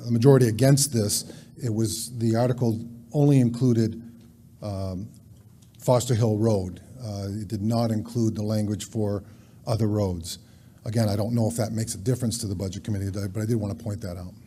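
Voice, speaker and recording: Man, selectman at a town meeting, reading a warrant article in a quiet, deep-toned, formal delivery. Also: steady tone, moderate pace, slight background noise.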